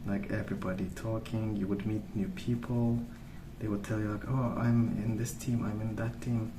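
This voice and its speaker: A soft-spoken man rambling in an ASMR style, with a hesitant, meandering and unhurried way of recollecting. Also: on the low side, little pitch movement. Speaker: ASMR video host. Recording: roomy, distant mic, noisy background. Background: pencil colouring sounds, background noise.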